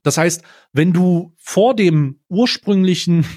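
Male podcast co-host, slightly high voice, casual and emphatic discussion style. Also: slow, darkish tone.

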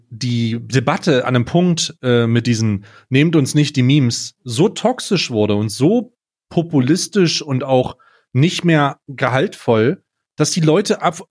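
A young man co-hosting a podcast, giving blunt, casual opinions in slangy words with halting starts. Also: mid-range voice. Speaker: podcast co-host.

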